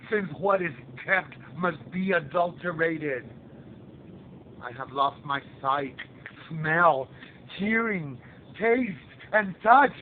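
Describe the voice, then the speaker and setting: Slow, declamatory man reciting poetry, muffled recording. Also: high voice, a little hiss or hum. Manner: declamatory, theatrical, halting phrases with swells in force. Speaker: poet reciting a poem.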